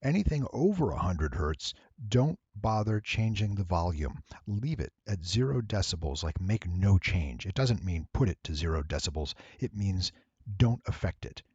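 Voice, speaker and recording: A man giving a casual, unscripted software tutorial in a deep, husky voice, with wry, playful asides. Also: big pitch swings, normal speed, no echo, highs cut off.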